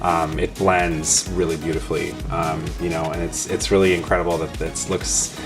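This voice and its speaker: A man's voice: deep voice, little pitch movement, normal speed. A man co-hosting a casual, unscripted review, speaking with enthusiastic, emphatic praise. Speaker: co-host of a toy review. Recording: music clearly behind the voice.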